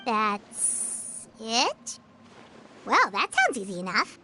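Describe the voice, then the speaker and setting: A woman's voice: fairly high pitch, huge pitch swings, extremely slow, heavy background noise, heavily acted. A woman voicing a young, curious companion character in a video game, with a hesitant, questioning manner. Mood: surprised. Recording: game dialogue under prominent music.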